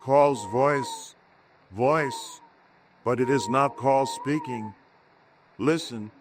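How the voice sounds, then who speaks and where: An actor playing an alien hive-mind that speaks slowly and solemnly through a possessed man, in 1940s radio horror style. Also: medium pitch. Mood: solemn, eerie. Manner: slow, solemn, commanding, speaking as 'we'. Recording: noisy vintage 1940s radio broadcast.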